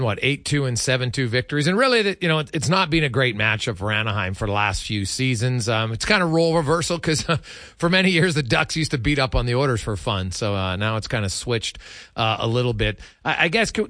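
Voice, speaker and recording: A man's voice: mid-range voice, lively intonation, fast, sharp tone, dry recording, studio quality. A man hosting a sports radio interview, asking questions in a conversational way.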